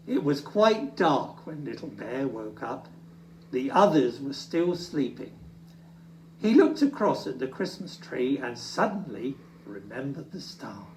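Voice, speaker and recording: A children's storyteller reads expressively at an unhurried pace. The voice is soft-edged and swings widely in pitch, heard a little way from the microphone in a roomy space. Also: a little hiss or hum. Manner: animated, deliberate storytelling. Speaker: storytime reader. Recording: echoey room, distant from mic.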